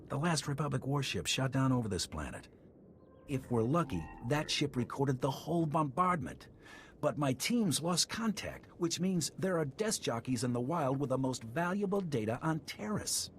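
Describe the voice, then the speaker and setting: A jaded army captain played by a man briefing in a video game. His pitch moves expressively while the manner stays blunt and world-weary. Faint ambience sits behind him. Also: slight background noise, lightly acted. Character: gruff, cynical male military captain giving orders. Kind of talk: video game dialogue acting.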